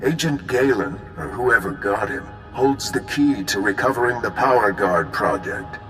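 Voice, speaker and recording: Lively-pitched, weary spy chief. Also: male voice, faint music behind. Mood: grave briefing. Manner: measured, wry, decisive. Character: level-headed, weary intelligence bureau chief. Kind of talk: video game voice acting.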